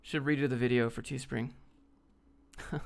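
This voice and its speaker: A man from the United States with a gravelly, fry-laden voice at an average male pitch. He talks informally and bluntly, like a streamer fielding questions from viewers, reading each one out and replying off the cuff. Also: steady tone, normal speed. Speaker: livestream host answering viewer questions. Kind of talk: casual off-the-cuff Q&A chat, reading questions aloud.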